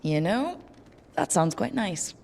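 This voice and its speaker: A woman's voice: medium pitch, sing-song, takes it slowly, vocal fry, darkish tone, heavily acted. An actress playing a capable, determined young woman who leads adventurers in a fantasy video game, wry and matter-of-fact. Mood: light, wry.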